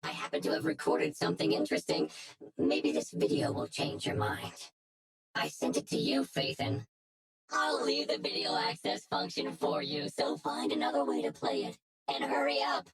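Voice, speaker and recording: A woman playing a menacing, smug hacker in a game cutscene, taunting deliberately and theatrically, with music behind her. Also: lowish voice, huge pitch swings. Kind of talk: dramatic game cutscene acting.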